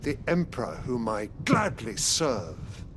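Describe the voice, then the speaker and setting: A man's voice: mid-range voice, huge pitch swings, slow, fairly bright tone, slight background noise, exaggerated voice. A man playing an imperious, zealous older emperor and father in a video game, speaking in grand, pompous oratory. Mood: grandiose, commanding. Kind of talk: dramatic video game cutscene acting.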